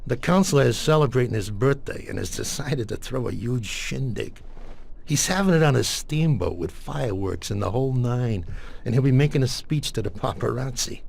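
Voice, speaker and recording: A man acting a cool, streetwise mob consigliere in a video game, briefing in slang with a raspy, gravelly voice. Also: medium pitch, animated, moderate pace, a little mellow. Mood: calm, instructing.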